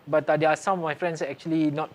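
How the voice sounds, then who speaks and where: A man's voice: on the high side, level tone, fast talker, a little mellow. A middle-aged Singaporean man with a Singaporean English accent, talking hesitantly on a community panel with many fillers. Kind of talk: casual panel chat.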